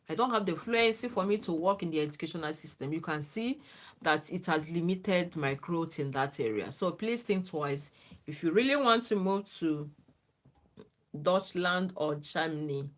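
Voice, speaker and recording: A woman, not a native English speaker, hosting a livestream and giving earnest, casual advice to viewers, often asking "okay?" to check they follow. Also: lowish voice. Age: adult, former lecturer. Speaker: livestream host.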